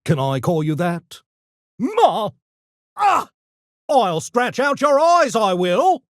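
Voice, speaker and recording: An actor voicing a smug, erudite genius gremlin for a video game, with pompous, mock-genteel, theatrical diction that tips into gleeful mania. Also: male voice, high-pitched, huge pitch swings, slow pace, dry recording, clean studio sound, heavily acted. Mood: wild, aggressive. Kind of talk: video game character voice lines.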